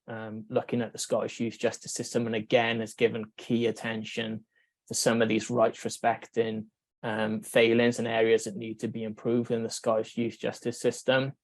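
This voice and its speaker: A Welsh man gives a measured conference talk, pausing on fillers and restarting phrases as he works through his points. His voice is gravelly and stays flat in pitch. The sound is close and dry. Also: dry recording. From Wales. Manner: measured, thinking aloud with many fillers and restarts. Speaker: researcher giving a conference talk. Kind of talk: conference presentation.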